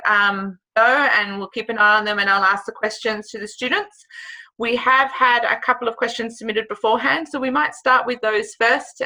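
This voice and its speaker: A woman hosting a live online Q&A over a video call, guiding the students with friendly, measured questions. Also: a little high, bright, forceful tone, rough recording. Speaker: host.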